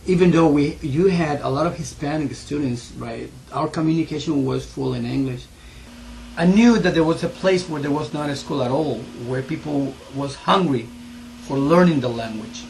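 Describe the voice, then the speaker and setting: Man, 40s, from Ecuador, heavy Spanish accent; earnest, halting interview storyteller, faint background noise. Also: a little high, vocal fry, a little mellow, rough recording. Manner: earnest, halting with many fillers and restarts. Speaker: interviewee, school owner.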